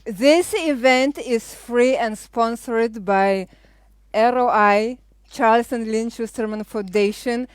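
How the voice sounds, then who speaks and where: A middle-aged woman with a Lithuanian accent hosting a live cooking show, chatting casually as she explains each step with frequent pauses. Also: fairly high pitch, clean tone, no room sound.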